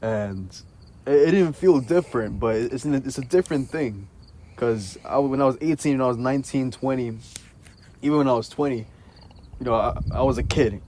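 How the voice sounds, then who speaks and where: A man's voice: mid-range voice, moderate pace. A twenty-one-year-old man in casual, unscripted conversation, often repeating the starts of words as he puts questions and thoughts together. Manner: stammering, repeated word starts. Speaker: conversation host asking questions. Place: outdoors in an empty parking lot. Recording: steady outdoor insect noise. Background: crickets and night insects.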